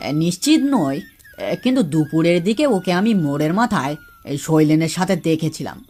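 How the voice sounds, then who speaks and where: A woman plays a young grandson in a village cartoon. The voice sits on the low side for a woman. The boy is caring and plain-spoken, nagging in everyday speech over light music. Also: animated, a little fast, darkish tone. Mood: casual, unsure. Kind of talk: Bengali cartoon story acting.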